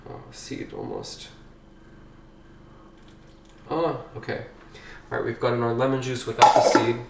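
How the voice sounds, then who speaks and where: Man, casual rambling chat, home kitchen, mid pitch, distant mic, faint room noise. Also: measured pace, vocal fry, echoey room. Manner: chatty, rambling, easily excited. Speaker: vlogger. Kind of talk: casual chat while baking.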